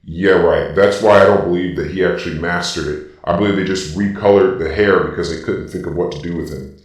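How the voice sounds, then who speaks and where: Guy doing deep robot villain voice, ranking stuff and arguing his takes, super echoey and kinda far from the mic. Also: fairly even intonation, fairly quick. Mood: opinionated. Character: Darth Vader-like robotic villain explaining things. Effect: robot voice filter. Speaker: video host ranking anime transformations. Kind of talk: opinionated casual commentary.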